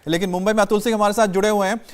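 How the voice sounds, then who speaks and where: High male voice, live news anchor, insistent. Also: little pitch movement, very fast.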